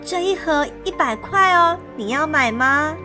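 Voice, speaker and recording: Woman putting on a high, genteel shopkeeper lady. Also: animated, a little slow, pushed and bright, full character voice. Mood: delighted. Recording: music behind the voice.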